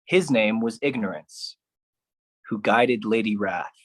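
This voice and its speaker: A man performing a theatrical spoken-word poetry monologue, phrased deliberately and dramatically, with shifting intensity. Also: lowish voice, breathy edge, no room sound.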